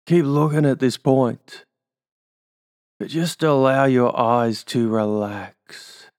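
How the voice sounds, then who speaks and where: A man's voice: mid-range voice, fairly even intonation, slow, a little mellow, smooth, dry recording, clean studio sound. A man with an Australian accent leading a guided hypnosis induction, giving soothing suggestions and tag questions.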